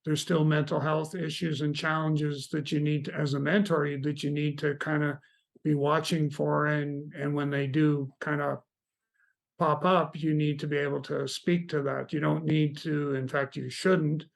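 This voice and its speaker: A man from Canada, a guest in an interview, telling stories about mentoring in a relaxed, meandering way. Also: a little high, normal speed, vocal fry. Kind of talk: casual interview chat.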